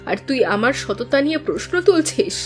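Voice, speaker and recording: A woman's voice: high voice, expressive, fairly quick, darkish tone, faint music behind. A woman playing an earnest, anxious young village sister in a cartoon drama, speaking in an emotional, pleading way. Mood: hurt, indignant.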